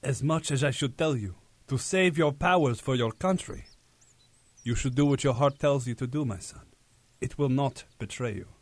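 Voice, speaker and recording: A man's voice: mid-range voice, moderate pace, no echo, natural voice. A man playing a loving, solemn peasant father in an audio drama. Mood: tender, resigned.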